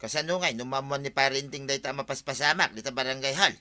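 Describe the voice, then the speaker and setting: Actor as a sharp, forceful grumbling Ilocano husband. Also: male voice, medium pitch, quick pace. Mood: complaining. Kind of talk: Ilocano audio drama acting.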